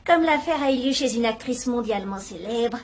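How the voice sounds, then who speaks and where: An actress playing a shrewd, gentle elderly spinster detective in a dubbed drama, speaking in a wry, quietly probing way. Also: female voice, on the high side, animated, brisk, slight background noise. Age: older. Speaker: amateur detective. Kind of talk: dubbed radio-style drama acting.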